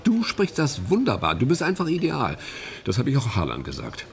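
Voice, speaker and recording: Radio drama: ingratiating, evasive friend, creaky voice with animated pitch, hesitant manner. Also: male voice, moderate pace. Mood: evasive, excusing. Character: ingratiating, evasive actor friend, flattering and excusing himself. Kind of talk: German radio drama acting.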